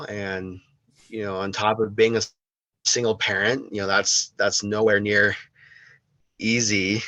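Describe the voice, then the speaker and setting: A young man from the United States, a podcast guest talking slowly and hesitantly, with many pauses and restarts. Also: low voice, fairly bright tone, dry recording, highs cut off. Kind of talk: casual interview.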